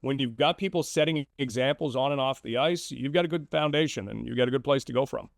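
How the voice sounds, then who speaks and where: A man's voice: mid-range voice, fast talker, creaky, no echo, clean studio sound. A man, a sports broadcaster appearing as a guest, talks through hockey analysis conversationally, explaining with emphatic, repeated short phrases.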